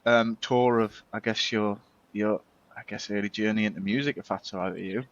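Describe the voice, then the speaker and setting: A man's voice: fairly low pitch, steady tone, moderate pace. Hesitant male co-host on a muffled line. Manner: hesitant, hedging phrasing. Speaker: podcast co-host. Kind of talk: casual interview chat.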